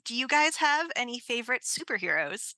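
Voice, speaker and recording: A woman's voice: fairly high pitch, big pitch swings, a little fast, sharp tone, no room sound. A woman moderating a casual Q&A over a video call, with informal, hesitant phrasing. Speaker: panel moderator. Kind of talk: casual Q&A hosting.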